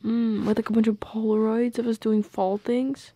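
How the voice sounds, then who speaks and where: A young woman in an intimate girlfriend audio roleplay, speaking softly and close to the mic with playful, teasing affection. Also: fairly high pitch, level tone, normal speed. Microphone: close microphone, dry.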